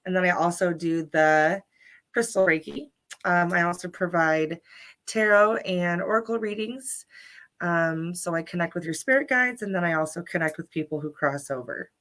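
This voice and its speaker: A woman's voice: on the low side, normal speed, fairly bright tone. A woman guest, a Reiki healer, chatting casually about her services with lots of ums. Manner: bubbly, many ums and restarts. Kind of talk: casual interview chat. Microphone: livestream video call.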